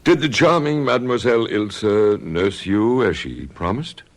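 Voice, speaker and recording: An actor playing a composed doctor in an old-time radio drama, questioning in a measured, clinical way. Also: male voice, mid-range voice, big pitch swings, natural voice. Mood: probing, calm. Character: male doctor, probing, composed listener to a patient.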